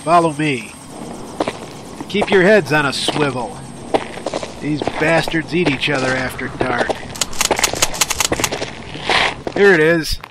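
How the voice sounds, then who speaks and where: An actor playing a gruff, blunt, profane police commissioner and ex-special-forces veteran in an audio drama. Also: male voice, on the high side, a little slow, long pauses, close to an everyday voice. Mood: urgent, barking. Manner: barking orders, blunt, profane. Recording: clearly noisy audio drama track.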